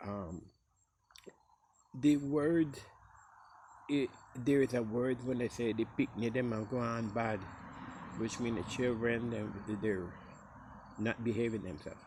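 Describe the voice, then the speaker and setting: A man from the Caribbean coast of Costa Rica with a Costa Rican Caribbean English accent, telling stories casually in a relaxed way and acting out quoted speech in a higher voice. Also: takes it slowly, pauses often, a little hiss or hum. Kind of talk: casual storytelling.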